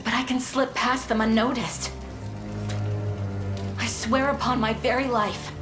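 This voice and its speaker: A woman's voice: a little high, fairly even intonation, measured pace, over music, natural voice. Actress as an echoey, earnest loyal woman scout. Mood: urgent, earnest. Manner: formal, earnest address. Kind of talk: video game cutscene voice acting.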